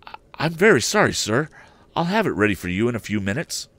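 A man's voice: medium pitch, big pitch swings, a little fast, a bit bright, no echo, pitched above the performer's own voice. A man voicing a courteous, formal, cautioning hotel proprietor in a storybook reading. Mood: apologetic, cautioning.

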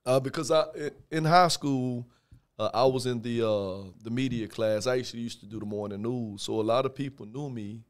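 A man in his thirties with a raspy voice, a rapper talking slowly with many fillers as a guest in a podcast studio. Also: medium pitch. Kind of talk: casual interview chat. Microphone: headphones and studio mic on boom.

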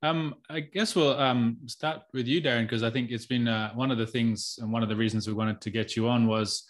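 A man's voice: medium pitch, fairly even intonation, brisk. A middle-aged man, hosting a casual interview and asking questions in a tentative, meandering way. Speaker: host.

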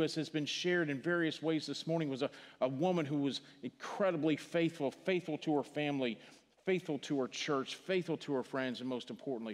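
An older man from Missouri with a Missouri accent, preaching a funeral sermon in a church sanctuary, earnest, repeating words and dropping to a hush on key phrases. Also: fairly high pitch. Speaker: pastor. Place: large church sanctuary stage. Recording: long hall reverb from the church.

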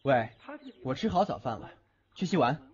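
A man's voice: medium pitch, lively intonation, moderate pace, natural voice. A man acting an aloof, grumbling young university student in an audio drama, with a blunt, exasperated manner. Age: young adult. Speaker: lead actor in a Chinese audio drama. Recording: slightly dull recording with music behind.

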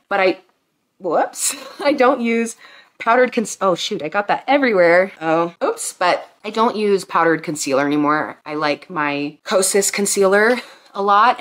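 Low-voiced chatty young woman vlogging. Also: a bit bright. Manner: chatty, enthusiastic, rambling with fillers. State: pregnant, congested. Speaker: beauty vlogger. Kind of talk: casual product review chat. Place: bedroom at home, indoors.

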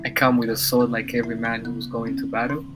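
A man's voice: mid-range voice, flat delivery, brisk, over music. A young man from Rwanda with a Rwandan accent, Kinyarwanda his first language, chatting easily on a headset as a podcast guest, with many fillers. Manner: easygoing, hesitant, many fillers. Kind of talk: casual video-call chat.

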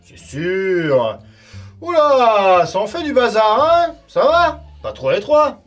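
A man plays a short-tempered medieval warrior who swings from fake friendliness to insults and shouting, his voice pitched high and bouncing all over. Light music hums faintly behind him in a stone cellar. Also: wildly swooping pitch, slow pace, exaggerated voice. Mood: mock-friendly. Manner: mock-friendly, then sarcastic and insulting, shouting at times. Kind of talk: comedy sketch acting. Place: stone cellar set, indoors.

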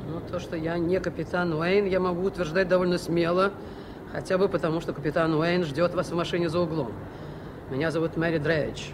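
Actress as a curt, sardonic woman, low-voiced. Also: fairly even intonation, brisk, natural voice. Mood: brisk, sardonic. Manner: curt, dry, self-assured. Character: brisk, sardonic New York woman, darkly superstitious. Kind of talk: Soviet radio play acting. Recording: noisy 1980s radio recording.

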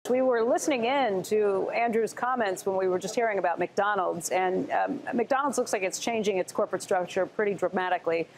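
A middle-aged woman interviewing guests for TV news, brisk and steering the talk. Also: mid-range voice, low-quality recording. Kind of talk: broadcast interview questions. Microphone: lapel mic.

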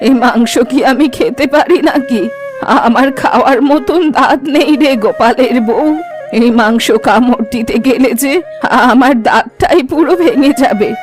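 A woman voicing an old village granny in a cartoon, grumbling and musing to herself. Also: sits high. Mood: plain, resigned. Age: older. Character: old village granny living alone, fond of food, grumbling. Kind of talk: Bengali cartoon voice acting. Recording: music behind the voice.

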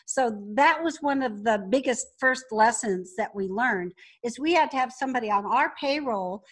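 A woman's voice: a little high, animated, normal speed. A woman telling business stories conversationally as an interview guest. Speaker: interview guest, wine company co-founder.

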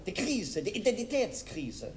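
An eager fidgety mental patient. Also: male voice, high voice, big pitch swings, measured pace. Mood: chatty. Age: middle-aged. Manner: fidgety, jokey, eager to please, ends barking. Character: anxious eager East German patient in hat. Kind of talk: stage cabaret sketch. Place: theater stage before a live audience. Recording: live theater recording with crowd. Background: audience laughter.

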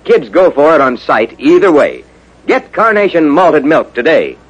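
A man with an American accent, a polished, formal 1950s radio announcer delivering sponsor pitches. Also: big pitch swings, moderate pace, over loud music, rough recording. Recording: vintage 1950s radio broadcast.

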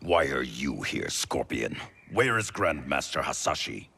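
A deep, gravelly warrior monk. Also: male voice, very animated, pushed and bright, heavily acted. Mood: wary. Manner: grave, measured. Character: grave male warrior monk, wary and stern. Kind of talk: video game cutscene acting. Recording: music score underneath.

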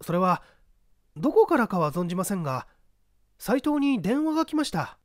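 A dutiful clerk, played by a male reader, testifies politely in a high, light voice. The earnest audiobook delivery swings in pitch and sounds close and dry. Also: big pitch swings, moderate pace, dry recording. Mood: careful, polite. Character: dutiful night-duty office clerk testifying plainly. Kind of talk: dramatic audiobook reading.

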